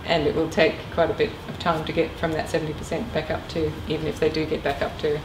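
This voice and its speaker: A middle-aged woman speaks from some distance in a very echoey room. Her voice is on the low side, and she talks informally, as a guest presenter taking questions. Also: flat delivery, fast. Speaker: guest speaker, former air traffic controller. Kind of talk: informal career talk with questions. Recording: echoey room, distant mic, clear noise.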